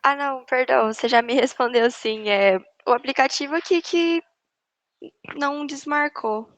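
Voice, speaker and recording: A woman student speaking up during an online class, polite and slightly hesitant. Her voice sits a little high. The sound is dry, with no room around it. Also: moderate pace, no room sound, rough recording. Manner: polite, tentative.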